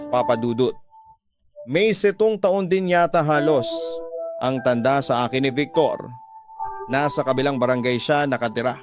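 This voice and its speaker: A man narrating a scripted first-person story as a radio drama storyteller. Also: medium pitch. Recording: music behind the voice.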